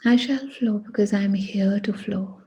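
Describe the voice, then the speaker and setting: A woman guiding a meditation in a hushed, breathy voice, speaking deliberately in short phrases with long pauses. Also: mid-range voice. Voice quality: breathy, husky. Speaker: meditation guide. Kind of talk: guided meditation.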